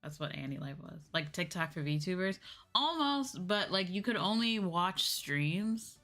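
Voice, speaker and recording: A woman's voice: on the low side, expressive, unhurried, a bit bright. A young adult woman chatting casually on a livestream, musing and hesitant as she answers chat, her voice a bit sore and tired from a day of talking. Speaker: VTuber streamer. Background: background music.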